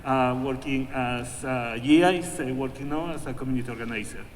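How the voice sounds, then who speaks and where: Halting male interpreter in a reverberant hall. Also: medium pitch. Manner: halting, many uh fillers, self-correcting. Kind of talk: live consecutive interpreting. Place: museum event hall. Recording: echoey hall with faint noise.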